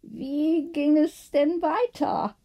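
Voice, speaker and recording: A woman's voice: high voice, steady tone, extremely slow, mellow, voice pushed up. A woman voicing a curious, knowing neighbour woman with an inquisitive manner.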